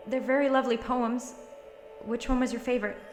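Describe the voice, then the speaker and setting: Woman as anxious determined college girl, higher voice. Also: steady tone, fairly quick, music in the background, lightly acted. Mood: pleading. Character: determined, anxious young college woman protecting her grandfather. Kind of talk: audio drama acting. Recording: roomy, faintly noisy recording.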